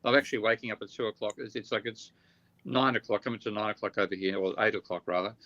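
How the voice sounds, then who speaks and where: A tired man whose voice is getting croaky, explaining ideas in a live online discussion, thinking aloud with halting restarts. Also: fairly low pitch, level tone, a bit bright, lo-fi sound. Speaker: guest economist. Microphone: video call.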